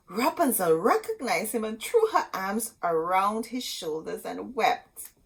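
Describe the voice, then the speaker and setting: A woman storyteller reading a storybook aloud expressively, in short dramatic phrases with pauses. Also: mid-range voice, breathy edge.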